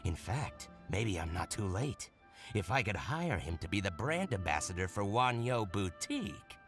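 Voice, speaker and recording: A shrewd, wildly expressive merchant. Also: male voice, fairly low pitch, moderate pace. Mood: gossipy, scheming. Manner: theatrical, haggling. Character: shrewd, theatrical, possessive male boutique owner and merchant. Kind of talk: video game voice acting. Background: game music.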